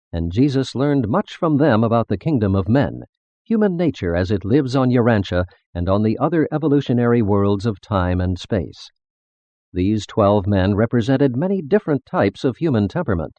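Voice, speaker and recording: A man narrates with a low voice and widely moving intonation, phrasing each clause formally and deliberately. The sound is dry and a little dull, like a scripted audiobook reading of scripture. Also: dark tone, dry recording. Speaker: narrator reading a religious text.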